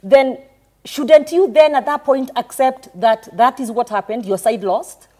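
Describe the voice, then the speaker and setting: A Kenyan woman with a somewhat high voice argues her case on a panel. She speaks in a measured, reasoning way and holds firm. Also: mellow. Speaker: senator, panel guest. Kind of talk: debate rebuttal.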